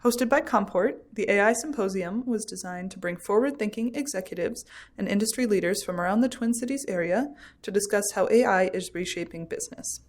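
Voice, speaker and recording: A woman narrates for a podcast from a script. Her voice sits at an average pitch for a woman. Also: fairly quick.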